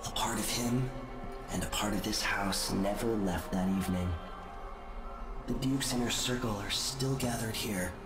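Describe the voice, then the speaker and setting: An actor playing a cryptic, aloof otherworldly spirit in a video game, speaking deliberately and ominously. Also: male voice, on the low side, natural voice. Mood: ominous, detached. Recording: echoing reverb, music underneath.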